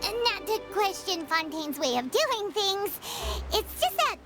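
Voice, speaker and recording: A woman's voice: extremely high pitch, very animated, a little slow, exaggerated voice. A woman voicing a tiny, curious, chirpy fairy companion girl in a video game. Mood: puzzled, earnest. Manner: speaks of herself in third person, exclaiming. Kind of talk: scripted video game dialogue. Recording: loud background music.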